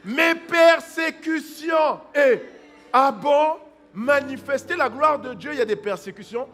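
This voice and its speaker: A man preaching a sermon as pastor. He swings from hushed asides to loud exhortation, acts out people's excuses in quoted voices and breaks into praying in tongues. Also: very high-pitched, unhurried.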